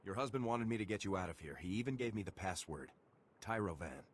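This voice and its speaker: A man playing a steady, dutiful military lieutenant in a video game, speaking in a terse, reassuring manner. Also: sits low, fast talker, a little hiss or hum. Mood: matter-of-fact. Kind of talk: video game cutscene acting.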